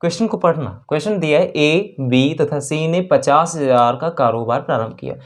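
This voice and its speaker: Low-pitched Indian woman teaching maths. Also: moderate pace. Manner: explanatory, repeats phrases and asks herself rhetorical questions. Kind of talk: step-by-step exam maths lesson.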